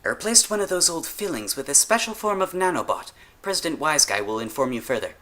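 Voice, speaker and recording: Lady doctor, played by a male narrator: raised pitch, bright tone, calm and courteous, audio-story character. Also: fast. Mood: polite, chatty.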